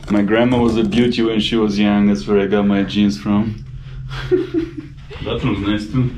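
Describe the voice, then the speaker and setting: A man's voice: on the low side, steady tone, unhurried, a little mellow. A man in his thirties vlogging casually, upbeat and self-mocking as he tours a hotel and shops. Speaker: travel vlogger. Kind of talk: casual travel vlog narration. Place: hotel room and shops, indoors and street. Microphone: handheld action camera. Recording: noisy handheld camera audio.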